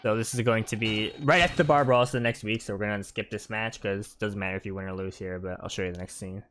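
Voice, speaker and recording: A man's voice: fairly low pitch, fairly even intonation, fast talker, a bit bright. A man giving casual, informal, explanatory commentary over video game cutscenes. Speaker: video game streamer, narrator of playthrough.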